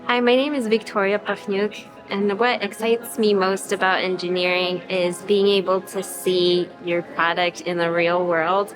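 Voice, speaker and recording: A woman's voice: medium pitch, little pitch movement, measured pace, hardly any pauses, low-quality recording. A woman giving a short introduction of herself as an engineer. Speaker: panelist, engineer. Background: music.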